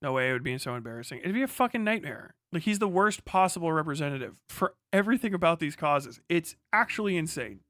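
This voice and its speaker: A man's voice: fairly high pitch, big pitch swings, fast, few pauses, fairly bright tone, dry recording, studio quality. A man hosting a casual livestream, giving blunt, profane, slangy political commentary and talking back to chat. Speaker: livestream host.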